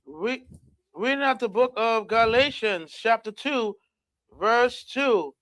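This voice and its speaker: A man's voice: very high voice, lively intonation, slow, a bit bright, no room sound. A man reading scripture aloud for a study group, announcing the book and verse before he starts.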